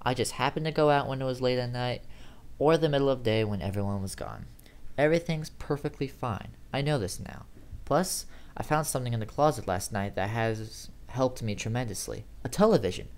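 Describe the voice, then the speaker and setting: A man's voice: mid-range voice, animated, brisk, darkish tone, clear, resonant tone. A man reading a first-person horror story aloud in a dramatic, theatrical way, sometimes stumbling over words. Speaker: amateur horror story reader.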